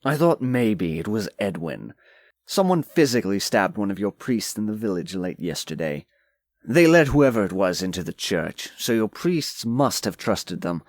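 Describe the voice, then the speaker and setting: A man's voice: fairly low pitch, sing-song, normal speed, no room sound. A man playing a jaded, condescending nobleman who speaks in a curt, dry, analytical way in a dramatized fantasy audiobook. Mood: dry, matter-of-fact.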